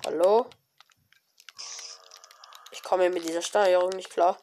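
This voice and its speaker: A woman with a cold, giving casual, excited commentary on her own gameplay with many fillers and exclamations. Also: lowish voice, measured pace, a little hiss or hum. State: has a cold. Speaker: gaming video creator. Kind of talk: casual let's-play commentary.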